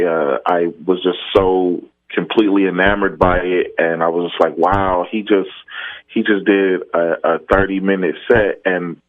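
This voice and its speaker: A man's voice: low voice, no echo, phone-quality sound. A man, a stand-up comedian, chatting casually on a podcast about his start, rambling with frequent laughs. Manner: laid-back, rambling, laughs often.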